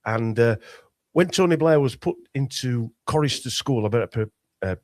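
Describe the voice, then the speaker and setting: A man's voice: mid-range voice, expressive, moderate pace, darkish tone, no echo, studio quality. A man with a Yorkshire accent talking at length as an author guest in an interview, with many fillers and restarts. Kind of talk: conversational interview.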